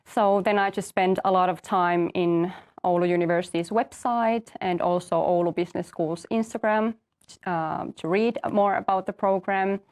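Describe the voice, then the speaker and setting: A young woman from Finland, a master's student, calmly explaining her path and the application steps in an info session. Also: on the low side, normal speed. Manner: orderly, explanatory, light fillers. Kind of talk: answering questions in an info session.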